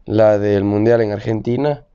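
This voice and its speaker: A young man, telling racing stories slowly and thoughtfully in a casual chat. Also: fairly low pitch, steady tone, dark tone, clear, resonant tone, muffled recording. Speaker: guest rider.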